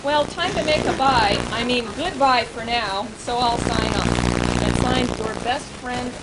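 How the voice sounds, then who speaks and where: Woman reading a funny letter at a party, high lively voice, loud noise on the tape. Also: unhurried. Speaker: party guest reading birthday wishes. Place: house party in a home. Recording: noisy amateur home video. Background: loud background hiss and noise.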